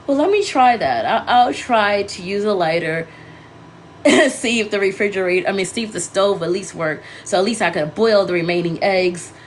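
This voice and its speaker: A congested, scratchy-throated woman hosting a casual livestream, rambling and correcting herself as she talks to viewers. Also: medium pitch. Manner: meandering, self-correcting, talking to viewers. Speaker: livestream host.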